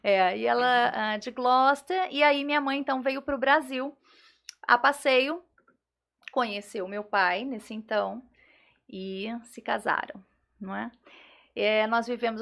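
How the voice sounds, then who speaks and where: Bright, creaky-voiced Carioca woman. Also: on the high side. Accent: Carioca Brazilian Portuguese. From Rio de Janeiro, Brazil. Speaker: podcast guest, pastor. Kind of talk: personal testimony in podcast conversation.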